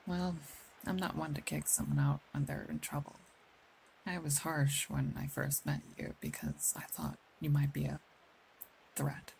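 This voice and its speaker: A woman voicing a caring, protective female werewolf in an intimate ASMR roleplay, speaking gently and confidingly with pauses. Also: sits low, animated, creaky, slight background noise, exaggerated voice, rough recording. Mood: tender, reassuring. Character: caring, protective female werewolf, older than she looks, wistful. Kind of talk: ASMR roleplay, intimate scripted acting addressed to one listener.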